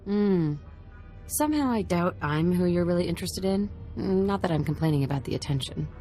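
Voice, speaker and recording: A woman's voice: on the low side, lively intonation, moderate pace, mellow, faint music behind, lightly acted. An actress playing a wry, guarded woman who works as a casino lounge assistant in a video game, talking casually. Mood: flirtatious.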